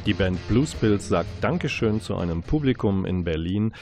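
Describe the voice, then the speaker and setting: A man hosting a radio book show, presenting reviews and interviews in a deliberate, wordy, playful announcer manner. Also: lowish voice, animated, music in the background.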